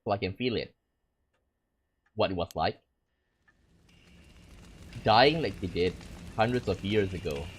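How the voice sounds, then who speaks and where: A man voicing a determined cursed mother, reading the game's dialogue aloud in an earnest, even way. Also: low voice, a little slow, pauses often, slightly rough. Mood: grave.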